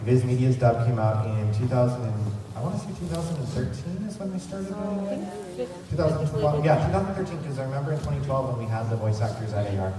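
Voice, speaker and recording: A man's voice: medium pitch, quick pace, crowd noise. A man chatting enthusiastically as he hosts a casual fan convention panel. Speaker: panel host. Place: large convention centre panel room. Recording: echoey noisy convention hall, distant mic.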